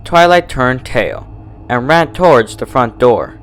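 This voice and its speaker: A man's voice: mid-range voice, slow pace. A man narrating a story in a dramatic, scripted reading style. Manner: animated, dramatic.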